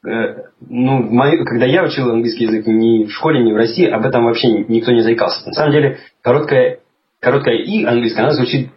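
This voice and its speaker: A man talking in a relaxed conversation, thoughtful and hesitant, with many restarts as he explains things. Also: mid-range voice, quick pace. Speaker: guest. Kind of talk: casual conversation. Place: lives in England. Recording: slightly dull lo-fi audio with faint noise.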